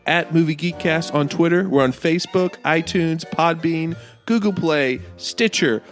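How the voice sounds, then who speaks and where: A man's voice: a little high, measured pace. A man who hosts a podcast, speaking in the style of a promo for his show. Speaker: podcast host. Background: faint music.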